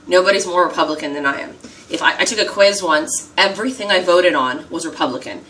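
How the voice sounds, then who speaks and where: A woman's voice: fairly low pitch, fast, a bit bright, recorded from a distance, a little hiss or hum, rough recording. An American woman talking casually to the camera while doing her makeup, emphatic and repetitive, often asking "okay?". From United States. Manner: emphatic, repeats herself, keeps asking "okay?". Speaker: vlogger.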